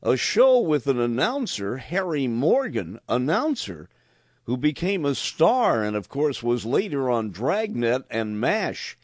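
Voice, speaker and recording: A man's voice: mid-range voice, big pitch swings, slow pace, no echo, clean studio sound. A man hosting an old-time radio program, presenting it with a scripted introduction. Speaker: host.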